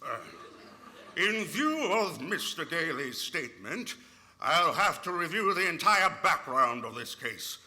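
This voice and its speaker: A man's voice: a little high, big pitch swings, unhurried, sharp tone, a little hiss or hum, heavily acted. A man playing a stern, formal courtroom judge in a 1940s-style radio play.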